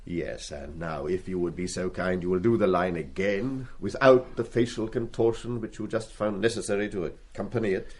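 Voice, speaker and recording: Husky, pedantic schoolmaster. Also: male voice, deep voice, fairly even intonation, normal speed, hardly any pauses. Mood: dry, stern. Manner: precise, pedantic, formal diction. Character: reserved, formal, ageing classics schoolmaster, dry and wounded. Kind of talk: radio play acting.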